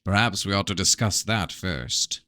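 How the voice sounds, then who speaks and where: A man's voice: low-pitched, normal speed, bright, forceful tone. A man voicing a calculating, evasive prince in a novel reading, with guarded, formal phrasing. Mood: guarded, probing.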